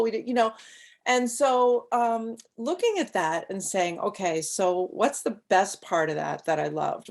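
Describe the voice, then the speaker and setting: A woman hosting an interview, chatty and enthusiastic as she talks with her guest. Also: mid-range voice. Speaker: host. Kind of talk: casual interview talk.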